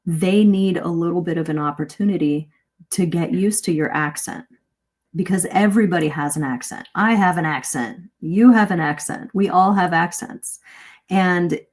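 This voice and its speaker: Lower-pitched middle-aged woman coaching. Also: normal speed, a little mellow. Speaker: English communication coach, host. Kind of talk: live online lesson, conversational teaching. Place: live video stream.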